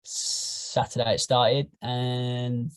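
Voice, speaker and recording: A young man with an English accent, chatting casually as a podcast guest, rambling and animated. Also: medium pitch, very slow, smooth. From England. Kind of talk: casual chat.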